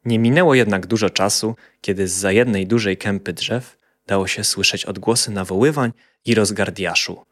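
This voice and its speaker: A man's voice: lowish voice, normal speed. A man narrating a scripted children's fairy tale as a storyteller.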